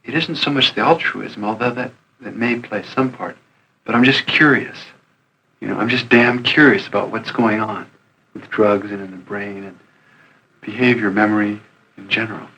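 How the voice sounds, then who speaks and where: Male interviewee, lowish voice, candid talk over faint background noise. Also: steady tone, moderate pace, sharp tone, lo-fi sound.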